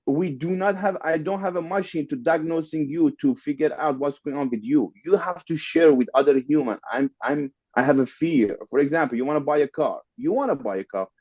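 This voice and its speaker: A man's voice: fairly high pitch, a little mellow, no echo, highs cut off. A man from the Middle East whose first language is Farsi, speaking English with a Persian accent as a podcast guest, repeating phrases for emphasis. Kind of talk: casual interview storytelling.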